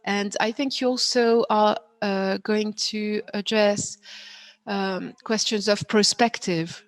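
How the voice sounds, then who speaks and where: Woman hosting an online panel, middle pitch, lots of uhs and ums as she hands over. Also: fairly even intonation, takes it slowly, dry recording. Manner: hesitant, filler-heavy. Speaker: moderator. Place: online video call.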